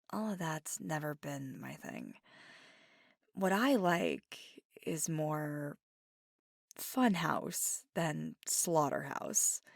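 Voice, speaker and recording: A woman voicing a playful, mischievous, kindly witch in an intimate, unhurried ASMR monologue that slips into near-whispers. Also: lowish voice, vocal fry, no echo, clean studio sound, exaggerated voice. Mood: gentle, reassuring. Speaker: ASMR audio performer.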